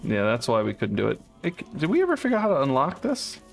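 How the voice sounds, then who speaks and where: A man hosting a co-op game playthrough, chatting casually and thinking out loud as he plays. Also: mid-range voice, wildly swooping pitch, fast talker, creaky, faint music behind. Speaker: let's play host. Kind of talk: casual co-op gameplay chat.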